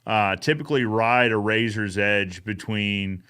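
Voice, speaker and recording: Smooth-voiced man chatting as a host. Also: fairly low pitch, a little slow, sharp tone. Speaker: host of a recap show. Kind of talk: casual live podcast chat.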